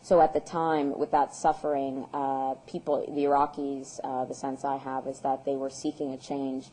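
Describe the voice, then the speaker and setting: A woman in her thirties from the United States, answering interview questions in an earnest, measured way with frequent ums and uhs. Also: rumbling low, little pitch movement, dark tone, slight background noise, low-quality recording. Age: 30s. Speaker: guest, peace activist.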